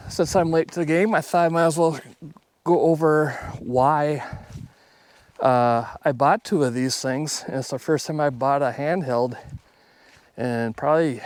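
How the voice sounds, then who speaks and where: A man's voice: a little high, fairly even intonation, slow, long pauses, a little mellow, no room sound. A man talking casually to viewers outdoors on a hike, in a plainspoken, conversational way. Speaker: YouTube host. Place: outdoors on a hike along a river.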